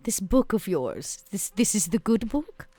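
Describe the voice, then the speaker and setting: A woman's voice: medium pitch, very animated, measured pace, warm tone, breathy edge, dry recording. Vera, a coy, teasing vampire woman played by a woman. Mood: flirtatious, teasing. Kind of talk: in-character tabletop roleplay.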